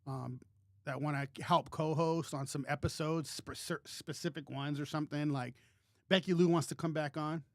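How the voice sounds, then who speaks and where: A man co-hosting a podcast, talking in a loose, joking, rambling way full of restarts. His voice sits fairly high for a man. The sound is dry and close to the mic. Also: fairly even intonation, normal speed, no echo. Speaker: podcast co-host. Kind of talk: casual chat.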